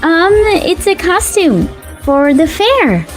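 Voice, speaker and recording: Woman acting a very high-voiced, earnest young costumed hobbyist. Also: very animated, takes it slowly, soft background music, full character voice. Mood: earnest, defensive. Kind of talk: scripted audio drama.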